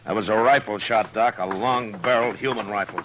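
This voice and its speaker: Man playing a deep-voiced, weary frontier marshal. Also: a little fast, close to an everyday voice. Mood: weary, wry. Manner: terse, authoritative, dry. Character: firm, weary frontier marshal in the Old West. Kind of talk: 1950s radio western acting. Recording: noisy vintage radio recording.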